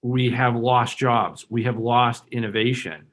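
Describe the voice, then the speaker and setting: A man's voice: medium pitch, fairly even intonation, unhurried, fairly bright tone. A man who grew up in Hong Kong, speaking as a bank executive on a webinar panel, earnest and persuasive with many restarts and "you know" fillers.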